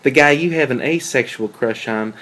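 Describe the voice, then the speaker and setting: A man's voice: mid-range voice, moderate pace, a bit bright, natural voice, low-quality recording. Man acting as a jealous, probing boyfriend. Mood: suspicious, probing. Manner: pointed, sardonic questioning. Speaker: actor in a script table read. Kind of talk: naturalistic dramatic table read.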